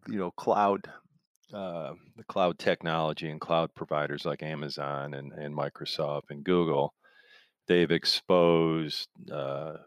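A man with a notably flat, low-key melody explains his field as an interview guest. His delivery is conversational and wandering, and the sound is dry and close. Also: dry recording. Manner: rambling, explains through analogies and imagined quotes. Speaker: interview guest, software industry expert.

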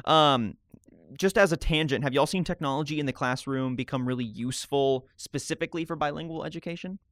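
A man's voice: fairly high pitch, fairly quick, fairly bright tone, no room sound, clean studio sound. A man hosting a podcast interview, chatting in a friendly, casual way with fillers. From raised speaking Spanish at home, mother from Colombia. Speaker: podcast host.

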